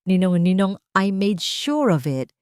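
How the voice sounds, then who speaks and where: A woman's voice: mid-range voice, sing-song, a little slow, a little mellow, clear, resonant tone, no room sound, clean studio sound. Lady doing a rattled crime boss henchman, pushy and defensive, audio drama. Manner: urgent, defensive. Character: anxious, forceful male henchman of a crime boss.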